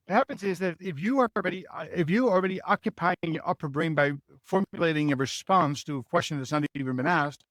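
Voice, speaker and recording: A man's voice: a little high, no room sound. A man with a Dutch accent answering interview questions as a leadership mentor, explaining earnestly and often adding a rhetorical "right?". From Netherlands.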